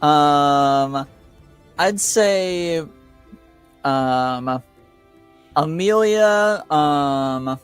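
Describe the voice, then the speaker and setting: A man improvising tabletop game narration as game master, with a hesitant, halting delivery. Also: on the high side, clear, resonant tone, soft background music.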